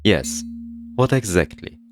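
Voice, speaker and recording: A man voicing a calm, cold male intruder who claims the room as his own, speaking in a flat, slow, unbothered way. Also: lowish voice. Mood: coldly calm.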